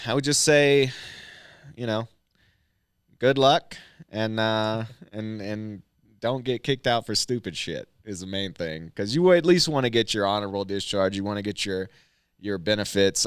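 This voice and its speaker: A man's voice: fairly low pitch, smooth, no room sound, clean studio sound. A man, a stand-up comedian and military veteran, chatting loosely as a podcast guest, rambling with lots of fillers and restarts. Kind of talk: casual podcast conversation.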